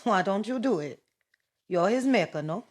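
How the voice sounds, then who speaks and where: A woman playing a stern, blunt voodoo high priestess over a hundred years old, scolding in curt broken English. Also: expressive, normal speed, dry recording, studio quality, close to an everyday voice. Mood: brusque. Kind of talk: campy horror-comedy film acting.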